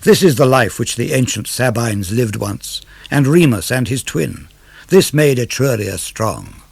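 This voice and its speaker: A man reads classical poetry aloud for radio. His phrasing is measured and declamatory, with a gravelly edge to the voice. The sound is dry and intimate. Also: mid-range voice, lively intonation, a little mellow, dry recording. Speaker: poetry reader. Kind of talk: dramatic verse reading of classical poetry for radio.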